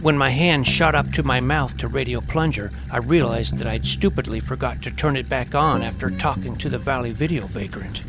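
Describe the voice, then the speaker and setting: A man's voice: medium pitch, normal speed, few pauses, lightly acted. An actor playing a wry twelve-year-old boy detective who narrates his own adventure in an audio drama. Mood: suspenseful. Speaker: narrator and lead. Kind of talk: scripted first-person story narration. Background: clear music.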